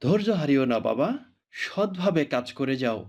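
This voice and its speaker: A man's voice: mid-range voice, expressive, normal speed, a little mellow, lightly acted. A man playing a patient, honest young bird seller in a cartoon, speaking as an earnest, respectful son. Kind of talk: cartoon voice acting.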